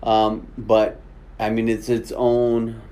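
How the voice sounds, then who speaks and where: A man's voice: fairly low pitch, monotone, slow, dark tone. A man hosting a casual interview podcast, chatty and full of "you know" and "right?". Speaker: podcast host.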